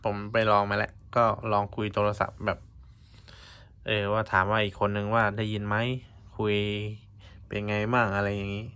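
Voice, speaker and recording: A man's voice: fairly low pitch, completely flat delivery, moderate pace, sharp tone, smooth. A man giving a casual hands-on tutorial, explaining informally step by step in short phrases. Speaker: vlogger giving a product tutorial.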